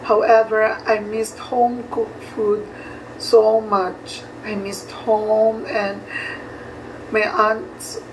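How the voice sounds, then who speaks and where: Young woman, Cebuano Filipino accent, native Cebuano speaker, personal vlog, away from the mic, clear background noise. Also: medium pitch, level tone, extremely slow. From Cebu, Philippines. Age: young adult. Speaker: vlogger. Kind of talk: talking-head personal vlog, prepared talk to camera. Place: small plain room indoors. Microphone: phone camera a couple of metres away. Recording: distant phone mic, noisy room.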